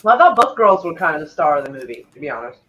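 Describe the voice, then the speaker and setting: A woman's voice: sits low, big pitch swings, very fast, fairly bright tone. A woman in her forties, co-hosting a casual watch party chat, rambling with lots of fillers. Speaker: co-host. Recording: dull lo-fi home mic.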